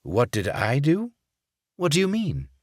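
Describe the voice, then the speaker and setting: A man voicing an undercover businessman who puts on a fake English accent, smooth and nervously ingratiating. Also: fairly high pitch, huge pitch swings, unhurried, a little mellow, no room sound, studio quality, voice pushed up, full character voice. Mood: defensive.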